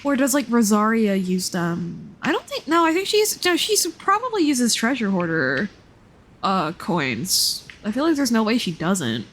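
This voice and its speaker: A woman's voice: a little high, sing-song, measured pace, slight background noise. A woman live-streaming a game with casual commentary, reacting to the dialogue with playful, sarcastic asides. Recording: game music behind the voice. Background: game music.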